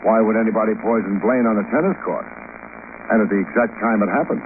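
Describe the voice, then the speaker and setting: On a noisy, thin vintage radio recording, an actor plays a male private detective with a fairly low voice. He is dry, deadpan and wisecracking in classic hardboiled radio style. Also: fast, phone-quality sound, lightly acted. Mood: cool, probing. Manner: deadpan, sardonic, probing. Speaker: detective lead and narrator. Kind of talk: 1950s radio detective narration and dialogue.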